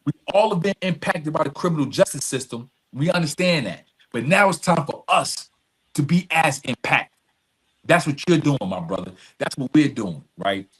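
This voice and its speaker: Husky guy on a podcast, slightly high voice, earnest, repeats himself to drive it home. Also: expressive, normal speed, low-quality recording. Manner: earnest, emphatic, repeating phrases and checking in with "right?". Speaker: podcast guest, advocate and executive director. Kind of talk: conversational storytelling with earnest testimony.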